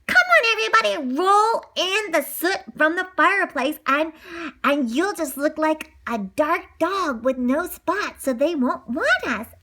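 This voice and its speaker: A woman voicing a brave Dalmatian father dog who talks quickly and eagerly to his puppies. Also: extremely high pitch, sing-song, pushed and bright, voice pushed up, full character voice.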